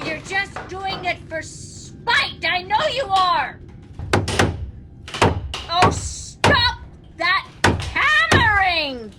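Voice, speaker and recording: An actress playing a nagging, self-pitying, fearful wife in a melodramatic 1940s radio drama style, shrill and complaining. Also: female voice, heavy background noise, heavily acted. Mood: querulous, demanding. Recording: old 1940s radio recording, loud music.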